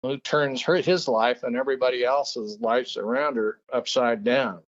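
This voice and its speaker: A man's voice: medium pitch, fairly even intonation, moderate pace. Male author guest, earnest storyteller, restarts his phrases, lo-fi dull line. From Peru. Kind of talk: interview, telling a story.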